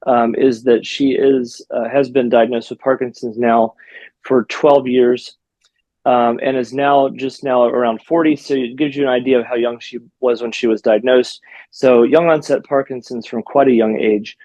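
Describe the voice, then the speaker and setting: A man's voice: medium pitch, fairly even intonation, moderate pace, warm tone, no room sound, rough recording. A man hosting a health podcast interview in a casual, friendly way, with frequent ums and uhs. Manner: friendly, hesitant with fillers. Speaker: podcast host, physical therapist.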